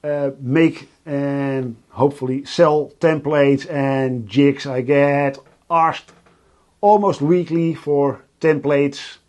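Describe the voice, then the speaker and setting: A Dutch man with a Dutch accent, hosting a casual live stream from his workshop and talking in a halting way with many fillers and restarts. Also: mid-range voice, fairly even intonation, a little mellow. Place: home workshop.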